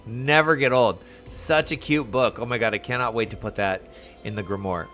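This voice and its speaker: Guy streaming a game, slightly low voice, excited slangy chat, game music under him. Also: normal speed. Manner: enthusiastic, slangy. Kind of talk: casual chat while playing a game. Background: video game music.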